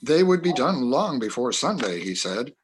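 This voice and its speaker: A man's voice: mid-range voice, big pitch swings, normal speed. A man narrating a classic story as a community reader in a dramatic reading.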